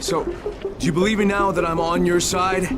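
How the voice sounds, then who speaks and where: A man playing a tough, defiant action hero in a video game cutscene, with a gruff, terse manner. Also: high voice, level tone, brisk, lightly acted. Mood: urgent. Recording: game audio with music underneath. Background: dramatic music.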